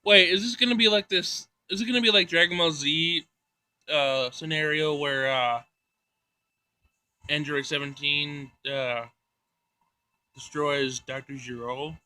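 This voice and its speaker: A man's voice: on the high side, fairly even intonation, a little slow, pauses often, sharp tone. A man hosting a gaming playthrough, reading a visual novel aloud and adding casual comments. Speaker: gaming channel host.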